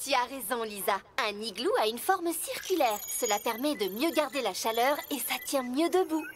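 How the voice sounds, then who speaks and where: A woman's voice: a little high, expressive, normal speed, a little hiss or hum, close to an everyday voice. A woman voicing a helpful, patient scientist character in a children's cartoon, explaining things and giving approval. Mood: encouraging. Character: helpful female scientist character patiently advising little trucks.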